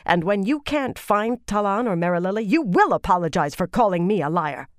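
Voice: mid-range voice, expressive, brisk, no echo, studio quality, pitched above the performer's own voice, natural voice. A narrator voicing a hot-tempered noblewoman with a heated, formal, commanding manner in a fantasy audiobook. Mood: hot anger.

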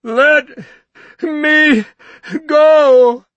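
A man voicing a panicking middle-aged male lawyer who shouts and hectors in a loud, strained voice. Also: a little high, huge pitch swings, moderate pace, slightly rough, no echo, lo-fi sound, voice pushed up, full character voice. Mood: panicked. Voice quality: loud, hectoring, near screaming.

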